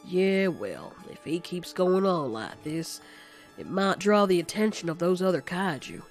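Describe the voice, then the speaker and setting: A man voicing a calm, confiding male monster who runs a restaurant, casual and measured, in an amateur audio story. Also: high voice, faint music behind, full character voice.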